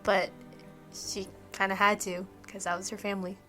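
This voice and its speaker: A woman with a bright, clear-edged voice at medium pitch talks as a player in a tabletop game. Her storytelling is casual and improvised, with music softly behind her. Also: little pitch movement, normal speed.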